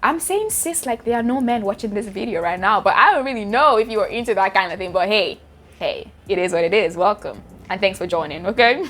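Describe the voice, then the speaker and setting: A woman's voice: medium pitch, expressive, brisk, a bit bright. A young Nigerian woman with a Nigerian accent, giving chatty, direct hair care advice to her viewers in a casual vlog. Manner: chatty, direct, addresses viewers as sis and guys.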